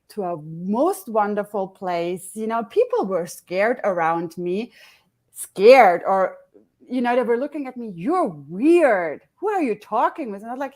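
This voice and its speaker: Woman chatting on a panel, highish voice that swoops all over, acts out quotes. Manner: animated, swings into high acted-out quotes. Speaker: facilitator on a panel. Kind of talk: casual panel chat.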